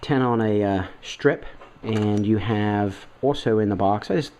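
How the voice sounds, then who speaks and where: A lower-voiced man with a stuffy, nasal head cold talks his way through unboxing something at home. He rambles with plenty of fillers and clears his throat often. Faint background noise sits under the camera-mic sound. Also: measured pace, a little mellow. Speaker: video host. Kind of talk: casual unboxing talk.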